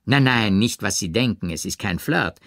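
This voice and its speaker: A man's voice: lowish voice, lively intonation, moderate pace, gravelly, fairly bright tone, no echo, studio quality, heavily acted. A man voicing a cool, ironic, haughty aristocratic princess with affected, mannered emphasis in an audiobook. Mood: haughty, dismissive. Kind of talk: audiobook character reading.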